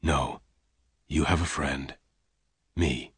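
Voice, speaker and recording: A man voicing a calm, blunt male gunfighter who speaks low, hushed and clipped. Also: a little slow, husky, no room sound, exaggerated voice.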